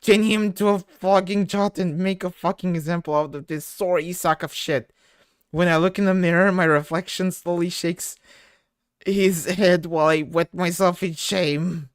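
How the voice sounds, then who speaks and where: A woman voicing a shouty, foul-mouthed young male troll, reading comic dialogue in a loud, rapid, self-loathing rant. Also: lowish voice, fairly even intonation, no echo, studio quality, close to an everyday voice. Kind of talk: reading webcomic dialogue aloud.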